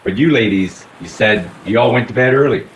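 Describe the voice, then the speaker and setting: A man playing a courteous, probing detective in a radio play, measured and theatrical. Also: mid-range voice, lightly acted. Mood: inquisitive. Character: probing, courteous male detective aboard a boat. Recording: echoey, faintly noisy room recording.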